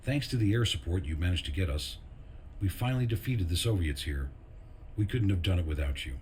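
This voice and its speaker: An actor playing a weary, grieving army major who solemnly delivers a measured farewell letter in a military video game. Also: male voice, sits low, expressive, a little mellow, slight background noise. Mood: solemn, formal. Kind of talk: dramatic scripted monologue in a military video game. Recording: music under the voice.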